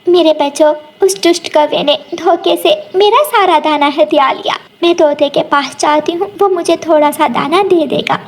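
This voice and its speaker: Woman as a high-voiced gentle mother bird. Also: normal speed, hardly any pauses, a little hiss or hum. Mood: dismayed. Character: gentle, trusting mother sparrow. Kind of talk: animated Hindi moral story dialogue.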